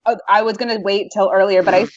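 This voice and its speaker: A woman's voice: mid-range voice, steady tone, fast, muffled recording. A woman talking casually in a group podcast chat.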